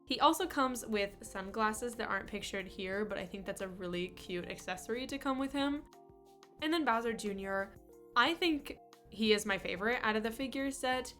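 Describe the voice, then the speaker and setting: A woman's voice: a little high, moderate pace, a bit bright, soft background music. Congested young woman reviewing toys. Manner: upbeat, fond, chatty. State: congested from a cold. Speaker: toy collector vlogger. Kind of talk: casual toy review vlog.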